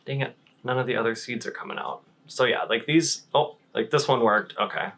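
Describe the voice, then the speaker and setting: A man's voice: mid-range voice, fairly quick, a bit bright. A man chatting casually as a plant vlogger, playful and self-deprecating, drifting into rambling asides. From Wisconsin, United States. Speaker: plant vlogger and shop owner. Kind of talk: casual how-to vlog chat.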